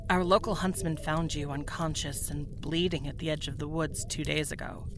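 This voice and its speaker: A woman's voice: sits low, steady tone, normal speed, over music, natural voice. A woman voicing a caring but firm tavern woman, motherly and soothing, in a fantasy roleplay audio drama. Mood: gentle, soothing. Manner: motherly, soothing, gently authoritative. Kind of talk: fantasy roleplay ASMR acting.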